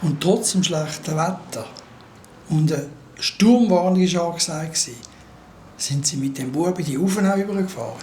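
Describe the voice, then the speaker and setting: A calm, probing investigator played by an actor questions a witness with a Swiss German accent. The delivery is formal and insistent, in a roomy, echoing space with faint noise, as in naturalistic radio drama. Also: medium pitch, moderate pace, close to an everyday voice. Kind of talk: naturalistic radio play dialogue.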